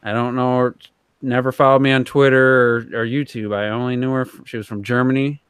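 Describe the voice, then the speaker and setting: Guy rambling on a gaming podcast, smooth clear voice, dry close sound. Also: mid-range voice, little pitch movement, brisk, fairly bright tone, no room sound. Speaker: podcast co-host. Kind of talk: casual gaming podcast discussion.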